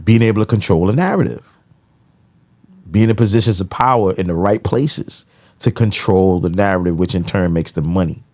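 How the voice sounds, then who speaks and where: A man's voice: low voice, normal speed, darkish tone. An American man in casual podcast conversation, giving his opinions with frequent conversational tag phrases. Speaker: podcast co-host.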